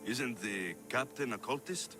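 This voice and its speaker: An actor playing a confident mercenary hero of ancient Greece in a video game, with a dry, sardonic, businesslike manner. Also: male voice, mid-range voice, a little slow, over music, close to an everyday voice. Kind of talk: video game dialogue acting.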